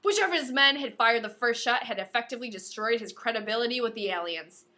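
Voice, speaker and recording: A woman's voice: a little high, fast talker, sharp tone. A woman co-hosting a podcast, reading a novel aloud with playful, exclamatory banter and theatrical delivery of the quoted dialogue. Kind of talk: book read-aloud with casual banter.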